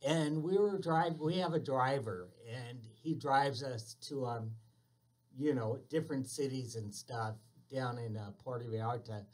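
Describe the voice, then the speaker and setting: A man hosting a casual radio chat, telling a relaxed anecdote. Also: mid-range voice, a little slow. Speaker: radio host.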